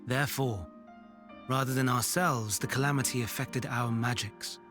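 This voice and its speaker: A man acting as an ancient, learned figure in a fantasy game. He explains things gravely and formally, in measured phrases at a middling pitch. Soft music plays underneath. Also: steady tone, close to an everyday voice. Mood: grave, thoughtful. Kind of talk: video game cutscene acting.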